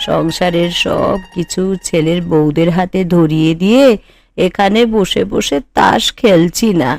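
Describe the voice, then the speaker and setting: A woman's voice: lowish voice, unhurried, creaky, a little mellow, no echo. A woman voicing a proud, strict older mother-in-law in a cartoon, boastful and commanding. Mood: proud, firm. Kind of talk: Bengali cartoon voice acting. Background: background music.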